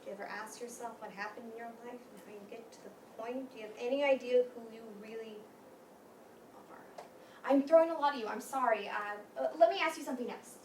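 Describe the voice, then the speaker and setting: Stern female psychiatrist acted by a woman, higher register, authoritative and probing; stage drama, echoey, noisy recording. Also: brisk, natural voice. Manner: firm, questioning, authoritative. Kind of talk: staged play reading. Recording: echoey room, distant mic, clear noise.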